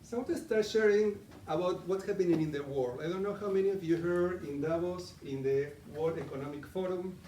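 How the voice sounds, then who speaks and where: A middle-aged Argentinian man leads a live talk, chatting with the room and putting questions to his listeners. His voice sits a little high and is picked up from some distance in an echoey meeting room, with faint ambience behind. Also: fairly quick, few pauses, warm tone, slight background noise. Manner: conversational, asks the audience questions. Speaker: coaching presenter. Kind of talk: live interactive presentation.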